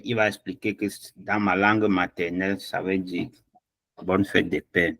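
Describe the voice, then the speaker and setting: Man, low voice, slow, dry sound, hesitant, wrapping up an online class. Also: level tone, a bit bright, no echo. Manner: hesitant, many fillers. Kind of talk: closing remarks on a video call.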